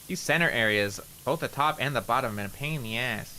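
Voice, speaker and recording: Nasal young Canadian man, bright voice. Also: medium pitch, fast talker, a little hiss or hum, poor audio. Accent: slight rural Canadian. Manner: rambling, swears a lot, self-mocking. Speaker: streamer. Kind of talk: casual gaming stream chat.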